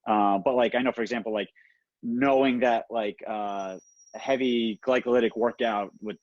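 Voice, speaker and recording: A man chatting casually on a coaches' call, halting and peppering his talk with "like" and "you know". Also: steady tone, dry recording. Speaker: participant in a coaches' conference call. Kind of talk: casual chat. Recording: dull, dry low-fidelity call audio.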